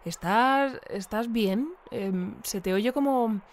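An actress with a Spain accent playing an earnest, polite news bulletin presenter in an audio fiction mock newscast. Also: female voice, a little high, slow, slight background noise. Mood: puzzled, concerned. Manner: polite, hesitant when questioning.

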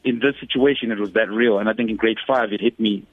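A man's voice: on the low side, fast. Man on a tinny radio line. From Zimbabwe. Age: 30s. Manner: relaxed, many fillers and restarts. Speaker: guest. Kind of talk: casual interview. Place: radio studio. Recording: dry, phone-like radio studio sound.

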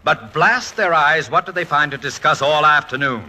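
An American actor playing a witty, proud, fretful middle-aged college president and husband in a wry, articulate 1940s radio comedy-drama style. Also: male voice, a little high, normal speed, bright, forceful tone. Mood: indignant. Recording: noisy 1940s radio recording.

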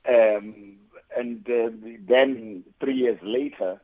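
A South African man giving conversational interview answers in a halting way, often saying "you know". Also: mid-range voice. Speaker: guest, theatre playwright and producer. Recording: tinny phone-like line.

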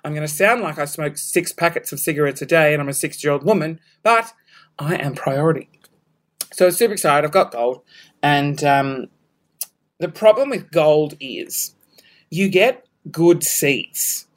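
A young Australian woman hosting her podcast at home, her voice worn hoarse, chatting in a rambling, self-mocking way with scattered asides. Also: low-pitched, expressive, a little slow. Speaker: podcast host. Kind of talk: casual solo podcast chat. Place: home room, balcony door open.

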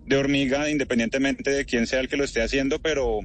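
A Colombian man in his thirties talking by phone from a moving car, measured and thoughtful, with lots of fillers. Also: medium pitch, steady tone, a little hiss or hum. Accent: Colombian Spanish, lightly worn. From Colombia (Barranquilla). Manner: thoughtful, many fillers like "pues" and "o sea". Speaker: interviewee, translator in Ukraine. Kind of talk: phone interview while driving.

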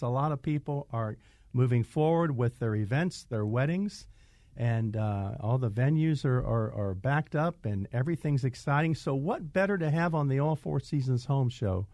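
A man's voice: a little high, a little mellow, dry recording, clean studio sound. An older man hosting a radio home show, talking casually and repeating himself as he goes. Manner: chatty, repeats words, stumbles.

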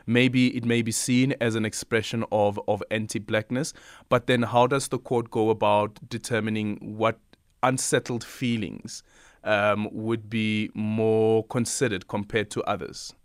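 A man's voice: fairly low pitch, level tone, a little slow, smooth, no echo, clean studio sound. Radio host man asking questions. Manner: repeats words when starting questions.

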